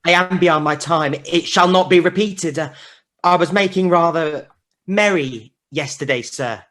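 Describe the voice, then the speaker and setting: A man playing a meek, apologetic clerk in a radio play, hesitant, halting and deferential. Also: fairly high pitch, fairly bright tone. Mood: apologetic, nervous.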